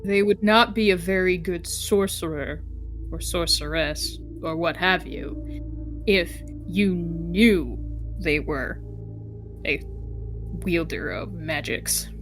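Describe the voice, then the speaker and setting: Slow-talking wry woman gamer. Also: on the low side. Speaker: tabletop game player. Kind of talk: casual in-character tabletop chat. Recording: clear music behind the voice. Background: background music.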